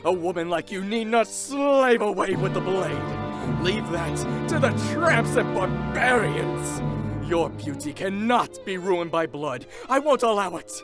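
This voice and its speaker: Pompous, slow-talking flattering nobleman. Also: high-pitched, loud music behind the voice. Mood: pompous pleading. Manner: pompous, flowery, over-polite. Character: smug, flattering, insincere young nobleman suitor. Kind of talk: audio drama character acting.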